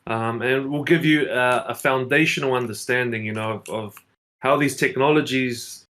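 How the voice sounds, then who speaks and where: A man's voice: mid-range voice, steady tone, normal speed, fairly bright tone. A man working in localization, explaining things hesitantly with many fillers on a video call panel. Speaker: localization specialist. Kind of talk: casual panel chat.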